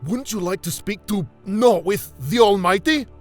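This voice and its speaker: A man acting in an audio drama as an earnest, devout scholar, speaking deliberately and with dramatic emphasis. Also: sits high, soft background music, lightly acted. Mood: fervent. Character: earnest Middle Eastern man, devout scholarly friend and host.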